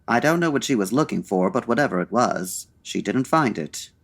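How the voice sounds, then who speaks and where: An actor playing a suave, wry 1940s gentleman detective in a comedic radio drama, with dry, urbane and formal delivery. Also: medium pitch, animated, normal speed. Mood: dry, composed. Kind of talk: comedic old-time radio drama acting.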